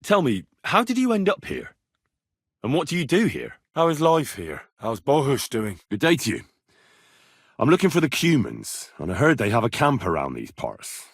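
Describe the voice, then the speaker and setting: Medieval game hero: young British commoner, earnest and inquisitive, husky, animated delivery, informal and plain-spoken. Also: male voice, medium pitch, normal speed, no echo, studio quality, heavily acted. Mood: curious, polite. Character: earnest, curious young blacksmith's son in medieval Bohemia. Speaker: video game voice actor. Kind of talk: game dialogue lines.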